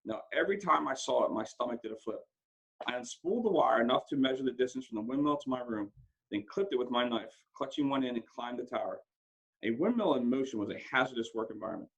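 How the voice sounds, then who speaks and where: A man reading a first-person story aloud in an expressive, dramatic way, occasionally stumbling over a word. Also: medium pitch, fast talker, vocal fry, breathy edge, muffled recording. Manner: dramatic, acts out dialogue, stumbles over words now and then. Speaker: school principal reading a book aloud.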